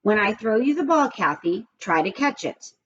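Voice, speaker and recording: A woman's voice: mid-range voice, big pitch swings, moderate pace, a bit bright, dull audio, slight background noise. A woman voicing an encouraging, insistent schoolboy from a children's storybook, earnest in manner.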